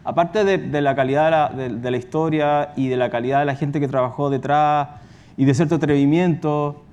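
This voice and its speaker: A man's voice: fairly high pitch, fairly even intonation, fairly quick, darkish tone, smooth. A Chilean man speaking spontaneously on a panel as a radio and podcast producer, in a colloquial, rambling, anecdotal way.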